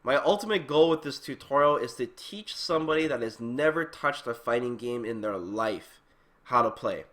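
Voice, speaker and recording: A man's voice: mid-range voice, lively intonation, normal speed, a bit bright. A man hosting a video game channel, giving an update in an informal, conversational way, speaking directly to his viewers. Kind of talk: casual channel update talk.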